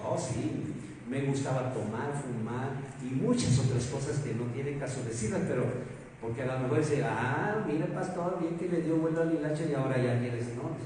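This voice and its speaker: A man with a Mexican Spanish accent preaching a sermon as pastor, asking rhetorical questions and swinging between loud emphasis and soft asides. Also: mid-range voice, normal speed, slight background noise. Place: church hall. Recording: echoey hall, mic far off.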